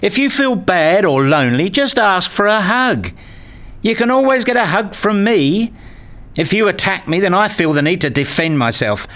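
Casting: male actor as tired, exasperated husband and dad for radio drama, explanatory and placating, very animated pitch. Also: normal speed. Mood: defensive, tired. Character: weary, exasperated husband and father, narrating his domestic life. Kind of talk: radio play dialogue and first-person narration.

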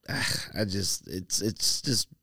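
A man chatting casually about sports as a podcast co-host, in an informal, slangy, animated way. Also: fairly low pitch, very slow, husky, no echo, clean studio sound.

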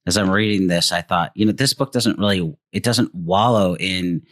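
A man's voice: deep voice, moderate pace, a bit bright, no room sound, clean studio sound. A man hosting a podcast interview, talking casually with many fillers and restarts. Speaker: podcast host.

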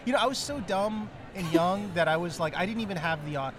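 A man chatting in a rambling, laughing, animated way with lots of fillers as a podcast guest. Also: sits high, quick pace. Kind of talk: casual chat. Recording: crowd noise behind. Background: crowd chatter.

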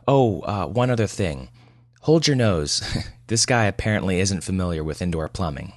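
A man's voice: low voice, expressive, normal speed, dry recording, studio quality. A man voicing a blunt, wry, flirtatious female receptionist in an audio story. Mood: wry, playful.

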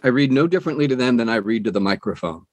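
A man's voice: medium pitch, lively intonation, racing, no room sound. An older man, an audiobook narrator, talking conversationally as an interview guest and telling anecdotes.

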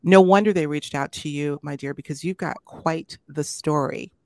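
A woman's voice: low-pitched, moderate pace, no room sound, clean studio sound. A woman hosting an interview show, chatting casually and inviting her guest to tell her story. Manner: warm, chatty, addresses audience as y'all. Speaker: podcast host.

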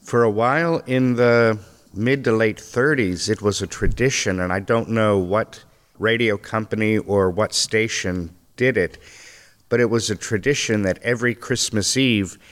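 A man from a radio theater troupe, explaining old radio history in a measured, steady way. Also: fairly low pitch. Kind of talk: casual interview talk. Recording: long reverb.

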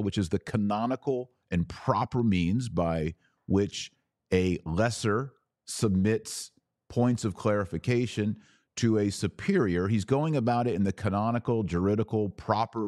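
A man delivers live opinion commentary as a podcast host. His voice sits fairly low, and he breaks his points into deliberate, emphatic phrases. The sound is dry and close to the microphone. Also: a little mellow, dry recording.

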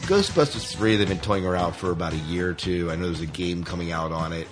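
A man's voice: low voice, quick pace, music in the background. A man co-hosting a podcast, talking casually through entertainment news in a chatty, opinionated way. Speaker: podcast co-host.

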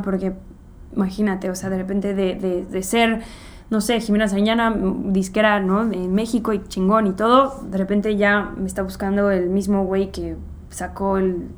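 Chatty Mexican woman telling stories. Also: medium pitch. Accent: Mexican Spanish. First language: English. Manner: rambling, full of fillers, animated storytelling. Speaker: guest musician. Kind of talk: casual interview chat.

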